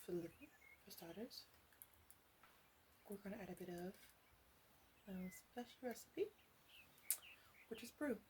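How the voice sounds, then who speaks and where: A woman playing a caring, teasing witch in a soft ASMR roleplay voice that slips into whispers. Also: medium pitch, expressive, a little hiss or hum, lightly acted. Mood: playful, flirty. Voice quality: soft, breathy, slipping into whisper. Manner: gentle, unhurried, playfully teasing. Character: female witch, flirty, caring, teasing potion seller.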